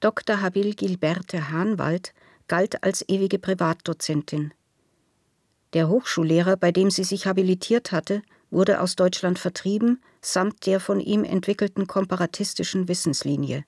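Woman, lower voice, literary radio play narration, very dry studio sound. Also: moderate pace, darkish tone, no room sound.

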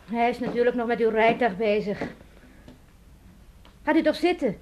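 A woman's voice: high-pitched, lo-fi sound. A woman acting a put-upon innkeeper in a radio drama, curt and complaining in her delivery. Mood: flustered, curt. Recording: old radio play recording, hissy, clicks.

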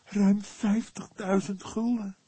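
Man voicing a high, shaken young woman. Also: little pitch movement, takes it slowly, dark tone, breathy edge, dry recording, heavily acted.

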